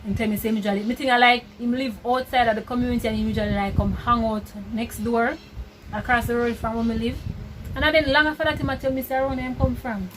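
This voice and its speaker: Casting: Jamaican woman, higher register, loud, casual couple-vlog storyteller, slightly noisy recording. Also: fairly even intonation, fast talker.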